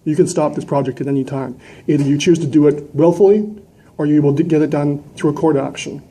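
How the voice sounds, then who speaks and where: A man speaks at a public meeting in a fairly high but soft-edged, dark-toned voice. He is indignant and combative, pressing his points with emphatic rhetorical questions, close on a gooseneck mic. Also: fairly even intonation, a little fast, slightly rough. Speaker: citizen delegate at a council meeting. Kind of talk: impassioned public address. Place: large indoor council chamber. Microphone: gooseneck microphone close to mouth.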